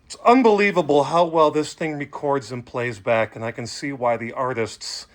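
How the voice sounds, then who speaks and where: A man's voice: mid-range voice, moderate pace. A middle-aged man giving a casual, conversational demonstration as a hobbyist host, with an enthusiastic manner. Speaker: hobbyist host demonstrating vintage audio gear. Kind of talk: casual product demo talk.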